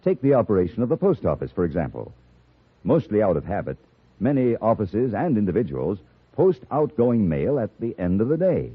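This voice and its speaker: A man's voice: lowish voice, very animated, brisk, slightly rough. A man with an American accent reading a scripted public service announcement in a 1950s radio style. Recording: tinny narrowband vintage radio recording.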